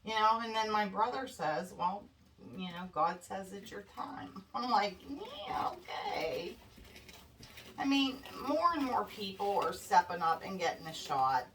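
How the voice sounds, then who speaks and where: Stuffy-nosed woman, chatty craft vlogger, slow and fretful, mic set back, faint hiss. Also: medium pitch, sing-song, a bit bright. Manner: chatty, worried, addresses viewers as guys. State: congested, stuffy nose. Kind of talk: casual chat while crafting. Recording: distant mic with faint background noise.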